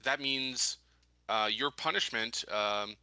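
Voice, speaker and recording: A man talking casually as a player in a tabletop roleplaying game, thinking aloud in a deliberate, halting way. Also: medium pitch, crowd noise. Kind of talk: casual in-character and out-of-character game talk.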